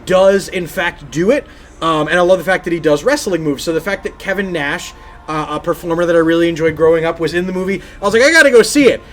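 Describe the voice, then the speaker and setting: Guy w a pretty high voice, rambly animated podcast chat. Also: fast, a little hiss or hum. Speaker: podcast co-host. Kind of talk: casual chat about games and films.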